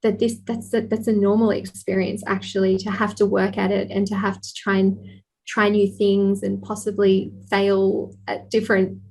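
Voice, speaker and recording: A woman's voice: mid-range voice, flat delivery, moderate pace, creaky, darkish tone, low-quality recording. A woman speaking as a therapist guest on a podcast, explaining earnestly and laughing lightly at times. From Australia. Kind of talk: podcast interview.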